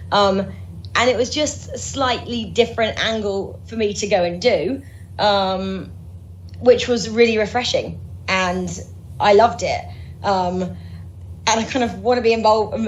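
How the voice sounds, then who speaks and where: A woman's voice: medium pitch, rough recording. Upbeat chatty woman from norwich, sports presenter guest on a podcast, lots of ums, slight hiss behind her. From Norwich, England. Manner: chatty, upbeat, many fillers and restarts. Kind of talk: casual interview chat.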